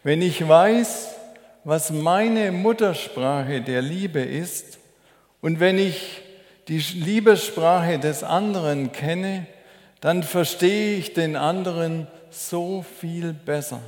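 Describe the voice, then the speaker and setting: A man preaching a sermon as a preacher, in a measured, deliberate, explanatory way. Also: fairly high pitch, darkish tone. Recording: echoey hall with long reverb.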